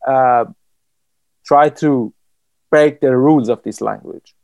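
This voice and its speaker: A man from Iran, a writer, speaking in an interview in a thoughtful, halting way with many restarts and fillers. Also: medium pitch, vocal fry, a little mellow, no echo. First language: Persian.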